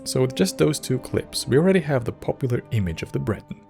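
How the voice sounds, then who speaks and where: A man reading scripted lore narration in a measured, wry, explanatory way, as a video essay narrator. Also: mid-range voice, big pitch swings, creaky, darkish tone. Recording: music bed under narration. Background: background music.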